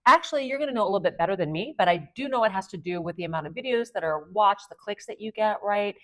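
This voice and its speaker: A woman's voice: mid-range voice, animated, fast talker, a bit bright. A woman in her fifties, a guest on a podcast, telling stories in a rambling way with lots of fillers. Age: 50s. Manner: rambling, meandering storyteller with many fillers. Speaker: podcast guest and Amazon influencer. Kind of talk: casual conversation.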